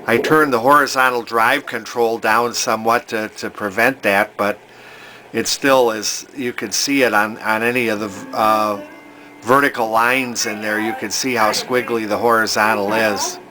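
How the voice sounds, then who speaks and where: An older man giving a casual, unscripted hobbyist demonstration, talking in a folksy, halting way as he thinks aloud. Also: lowish voice, fairly even intonation, noisy background. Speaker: hobbyist demonstrating a vintage television he repairs.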